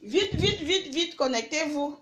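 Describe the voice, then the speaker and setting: A woman's voice: high voice, lively intonation, unhurried, fairly bright tone, a little hiss or hum. An older woman from Cameroon with a cold, hosting a livestream and lecturing her followers emphatically. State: has a cold. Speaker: livestream host. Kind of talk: live video chat with followers.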